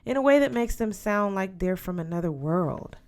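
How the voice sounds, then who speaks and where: A woman's voice: mid-range voice, expressive, moderate pace, a little mellow, lightly acted. A woman voicing an earnest, polite teenage schoolgirl who questions her elders in a storybook. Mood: earnest, hesitant.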